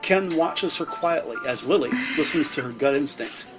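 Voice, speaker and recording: A man's voice: a little high, lively intonation, moderate pace, loud music behind the voice. A man reading scripted narration for an audio drama.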